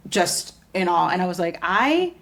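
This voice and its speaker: Woman, from the US, podcast guest, casual chat, animated storyteller, lower voice. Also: a little slow. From United States. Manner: animated storyteller, laughs often, acts out quotes. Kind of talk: casual conversation.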